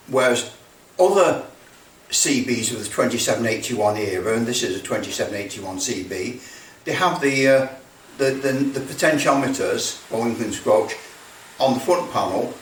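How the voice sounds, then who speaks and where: Guy in his 60s explaining stuff at his workbench, kinda rambly and halting, mid pitch, echoey room, bit far from the mic. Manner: rambling, halting, thinking aloud. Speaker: radio repair video maker. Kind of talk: casual explanatory demonstration. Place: small indoor workshop with block walls. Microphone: camera mic at a distance. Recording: roomy, distant mic, background noise.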